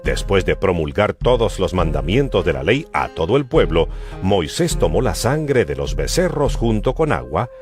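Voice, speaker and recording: A man's voice: low voice, sing-song, moderate pace. A man giving a solemn, emphatic dramatized narration of scripture as a Bible narrator. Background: music.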